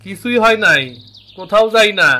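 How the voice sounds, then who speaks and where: A man's voice: high-pitched, normal speed, sharp tone. A man playing a timid, lovesick young fishmonger in a cartoon, pleading and hesitant, with an eastern Bengali accent. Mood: dejected, puzzled. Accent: eastern Bengali (Bangladeshi). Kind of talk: dramatic cartoon voice acting.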